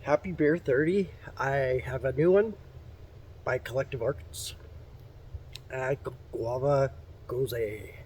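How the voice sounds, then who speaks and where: A man's voice: mid-range voice, slow pace, long pauses, slight background noise. A man with a stuffy, nasal voice from a head cold, chatting casually to camera outdoors at a campsite. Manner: relaxed, rambling, with fillers and restarts. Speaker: vlogger. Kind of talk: casual vlog talk to camera.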